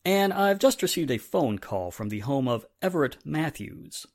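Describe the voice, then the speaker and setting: A woman's voice: low voice, sing-song, normal speed, a little mellow, no room sound, full character voice. A woman playing a grave, businesslike police inspector in a formal, serious 1940s radio drama style.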